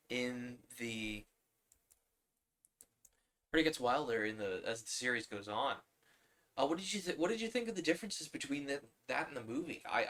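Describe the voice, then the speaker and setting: A man's voice: mid-range voice, pushed and bright. A man hosting a livestream, chatting casually and rambling as he talks to viewers and himself. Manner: rambling, talking to chat and himself. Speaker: livestream host.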